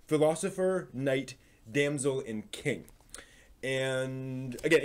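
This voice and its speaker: A man with a mid-pitched voice speaks as a live stream host. He explains things informally, like someone reviewing a book. His delivery is halting, with phrases restarted as he thinks aloud. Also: a little mellow.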